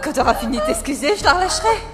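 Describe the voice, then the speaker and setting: An unhinged, vengeful teenage anime villainess with a very high, wildly varied voice. She is taunting and theatrical in her menace. The sound is heavily reverberant, with music clearly behind it. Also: huge pitch swings, racing, full character voice. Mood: gloating cruelty. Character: cruel, vengeful, unhinged teenage girl villain tormenting victims. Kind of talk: French anime fandub acting.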